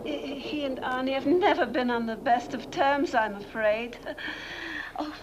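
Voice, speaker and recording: An actress playing a fussy, doting, eccentric older sister with a fluttery, genteel manner of chattering in a film. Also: female voice, high voice, unhurried, muffled recording. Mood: fussing, doting. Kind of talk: theatrical horror-comedy film acting. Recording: noisy old film soundtrack.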